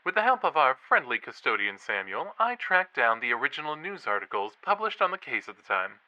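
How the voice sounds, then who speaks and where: A man's voice: mid-range voice, big pitch swings, brisk, bright, forceful tone, lo-fi sound. A man playing an eager young college podcaster and ghost believer in an audio drama, with a showy, playful delivery. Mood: playful, intrigued. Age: young adult. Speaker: podcast co-host character.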